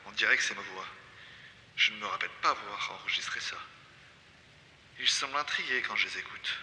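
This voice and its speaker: An actor playing a confused, desperate amnesiac explorer recording halting, fragmented log entries in an audio drama. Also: male voice, fairly low pitch. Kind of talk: French audio drama acting, recorded journal. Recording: echoey, long reverb, loud noise.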